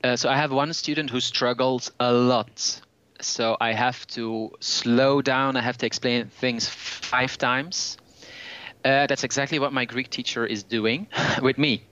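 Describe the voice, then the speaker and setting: A man's voice: medium pitch, fairly even intonation, measured pace, pushed and bright, highs cut off, a little hiss or hum. A man talking as a guest language teacher in a casual podcast conversation. He explains his views at length and thinks aloud.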